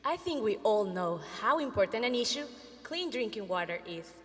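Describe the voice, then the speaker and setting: A woman from El Salvador giving a rehearsed student team presentation in a clear, measured way. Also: medium pitch, sing-song, fairly bright tone, in a large hall. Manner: clear, measured delivery of prepared lines.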